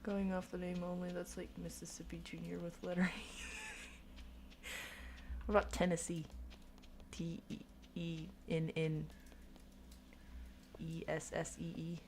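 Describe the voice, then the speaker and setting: A woman's voice: fairly low pitch, flat delivery, a little slow, pauses often, darkish tone, a little hiss or hum. A woman from Oklahoma with a light Oklahoman accent, chatting casually with her chat while gaming, rambling and giggling at herself. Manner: rambling, self-mocking, giggly. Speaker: streamer.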